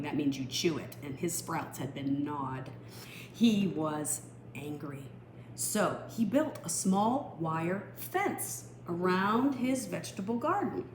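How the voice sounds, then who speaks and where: A woman reading a picture book aloud for children, expressive and explanatory, with teacherly asides. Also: fairly low pitch. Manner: explanatory, expressive, pausing to define words. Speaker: storytime reader. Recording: echoey room with faint noise.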